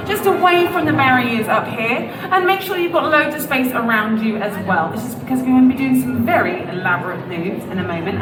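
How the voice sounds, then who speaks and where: A woman with a high voice leads a crowd in a large indoor venue, playful and encouraging. Her amplified voice bounces around the echoey space and is heard from a distance. A busy crowd murmurs behind her. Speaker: event presenter. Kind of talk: live crowd instruction. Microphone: amplified microphone. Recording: echoey PA sound from far off. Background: crowd noise.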